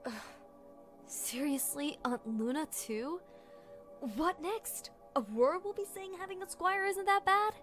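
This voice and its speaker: A woman voicing a petulant, stubborn young pony princess in an animated web series, whiny and exasperated, with music underneath. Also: very high voice, unhurried. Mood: indignant.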